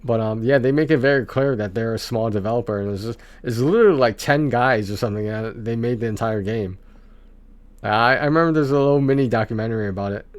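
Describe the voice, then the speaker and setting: A man's voice: medium pitch, quick pace, dry recording. A man livestreaming about video games in a casual, rambling, conversational way, speaking to his viewers on a close mic.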